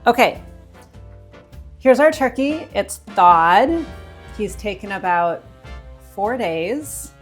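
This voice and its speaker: A woman's voice: medium pitch, expressive, slow pace, long pauses, soft background music. An American woman co-hosting a cooking show, chatting casually and conversationally as she explains things step by step. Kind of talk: casual chat while demonstrating cooking.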